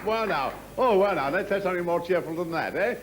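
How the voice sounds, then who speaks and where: A pompous senior officer in a drama, middle-aged and patronising. His voice sits rather high and moves about animatedly, with false joviality over menace. There is clear noise behind him. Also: lively intonation. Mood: forced cheer. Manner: pompous, patronising, deliberate phrasing. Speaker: actor. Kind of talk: dramatic acting.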